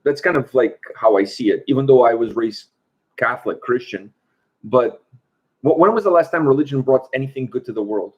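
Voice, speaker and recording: A man's voice: medium pitch, expressive, a little fast, slightly rough. Male host, Portuguese-born, casual and talkative, answers viewer questions, muffled stream audio. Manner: chatty, reads viewer questions aloud. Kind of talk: casual livestream chat.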